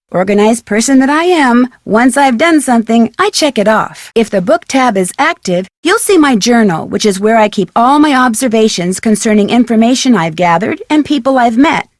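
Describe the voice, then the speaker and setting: A woman's voice: fairly high pitch, no room sound, studio quality, close to an everyday voice. A young woman playing a friendly, curious amateur detective, chipper as she explains things in a scripted game tutorial. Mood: helpful, instructive.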